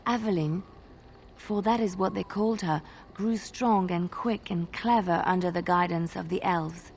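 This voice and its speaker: A gentle young female bard speaks in a slightly low voice. She delivers her fantasy game lines like a practised teller of tales, measured and expressive, over faint background noise. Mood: earnest storytelling. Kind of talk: video game dialogue, fairy-tale storytelling.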